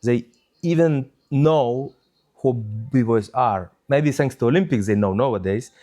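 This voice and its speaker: A man's voice: medium pitch, a little slow, mellow. A man from Ukraine speaking English, answering interview questions with many hesitations and fillers. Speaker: DJ guest.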